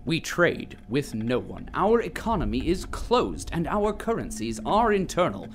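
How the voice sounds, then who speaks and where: A man's voice: fairly high pitch, very animated, unhurried. A man playing a grandiose, solemn narrator, delivering scripted dramatic narration for an audio drama. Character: grandiose, solemn propaganda-style male narrator.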